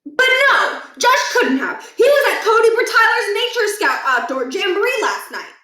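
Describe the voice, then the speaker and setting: A woman reading a storybook, voicing a pompous, flustered middle-aged male school principal who tends to shout. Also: very high voice, very animated, moderate pace, echoey room, pitched above the performer's own voice, exaggerated voice. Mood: incredulous.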